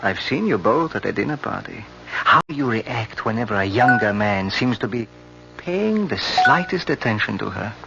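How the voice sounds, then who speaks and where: Gentle but scheming European doctor, from an old radio drama. He speaks in careful, halting phrases with a gravelly edge and lively intonation. The recording is hissy and old. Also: fairly low pitch, lo-fi sound. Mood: earnest, probing. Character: gentle older European doctor friend, male, solicitous yet secretly scheming.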